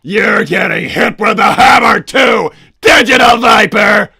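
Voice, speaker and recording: Casting: bombastic cartoon mad-scientist villain, doting but hot-tempered, high-pitched male voice with heavy rasp. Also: unhurried, bright, forceful tone, dry recording, shouting, clean studio sound, some chatter behind, heavily acted. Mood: indignant, firm. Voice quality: gravelly, raspy. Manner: blustering, bombastic. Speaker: livestream host. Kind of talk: in-character livestream chat.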